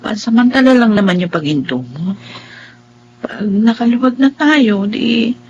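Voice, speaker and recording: A woman's voice: a little high, animated, a little slow, darkish tone, slightly rough. A woman acting an ailing, loving middle-aged mother in a Filipino radio drama, speaking in a tender, apologetic, emotive way. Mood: gentle, apologetic. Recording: muffled lo-fi audio, music underneath.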